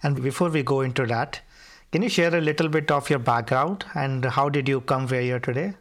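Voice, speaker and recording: A man's voice: medium pitch, a little fast, fairly bright tone, no room sound, clean studio sound. A man hosting a podcast, reading a scripted, emphatic intro and then interviewing a guest. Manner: emphatic, repeats key phrases. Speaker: podcast host.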